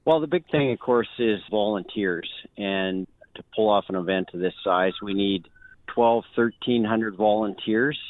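A man in an interview, talking about the event he organizes in a halting way, stopping often with fillers and restarts. His voice is fairly low and comes through a thin, tinny phone line, dry and without room sound. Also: dry recording.

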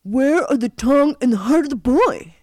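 Old villainous cook for a storybook woman acting him: menacing, forceful volume, pitched up. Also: expressive, normal speed, no room sound, clean studio sound. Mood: threatening. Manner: loud, menacing. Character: wicked old male cook, threatening scoundrel.

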